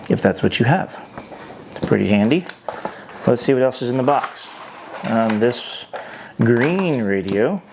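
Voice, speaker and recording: A man giving an unscripted hands-on product review, thinking aloud in a halting way. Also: fairly low pitch, vocal fry, a little mellow, a little hiss or hum.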